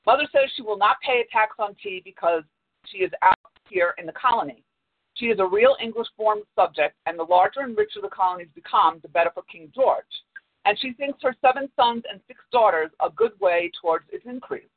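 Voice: mid-range voice, big pitch swings, brisk, dry recording. Cheerful young porter lad, read out by a storyteller over a tinny line; plain reading, barely acted. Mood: earnest.

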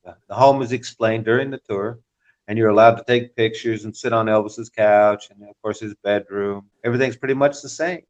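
A man's voice: lowish voice, steady tone, moderate pace. A man speaking casually as a tour guide being interviewed. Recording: dull lo-fi audio.

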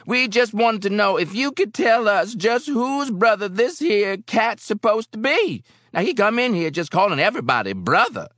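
A belligerent, suspicious drinker in an audiobook. He drawls his challenges in a high voice that swings widely, scornful and indignant, as if itching for a fight. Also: expressive, moderate pace, few pauses, fairly bright tone, dry recording, studio quality. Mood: challenging. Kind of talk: audiobook character acting.

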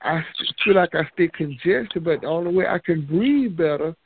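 Male caller, rambling storyteller, slightly high voice, tinny phone line. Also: expressive, moderate pace, husky. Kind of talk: casual phone chat.

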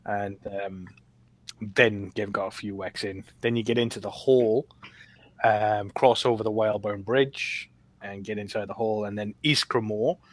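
A man chatting casually on a podcast panel, recounting gameplay in a rambling, halting way. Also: fairly low pitch, fairly even intonation, no echo.

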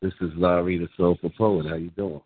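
A man calling in to a poetry show, hesitant with lots of pauses before his piece. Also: low voice, steady tone, slightly rough, dry recording. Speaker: caller poet. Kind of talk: casual chat before a poem. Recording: tinny phone line from off the mic.

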